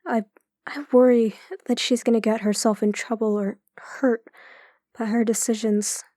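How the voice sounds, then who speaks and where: Slow, gentle, troubled young-hearted woman acted. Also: on the high side, level tone, no room sound, studio quality, lightly acted. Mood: sad, confiding. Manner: hesitant, halting, confiding. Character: gentle, troubled woman opening up to her brother. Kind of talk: audio drama acting.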